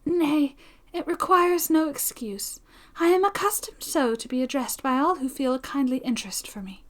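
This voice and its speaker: A woman doing a breathy, earnest young lady. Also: sits high, moderate pace, pitched above the performer's own voice, natural voice. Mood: gentle. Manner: breathy, emotional pleading. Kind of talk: audiobook character reading.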